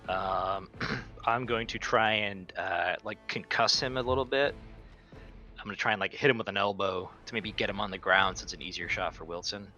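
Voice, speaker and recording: A man's voice: lowish voice, moderate pace, bright, forceful tone, muffled recording, soft background music. A man playing a tabletop roleplaying game, chatting casually about his moves. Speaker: player.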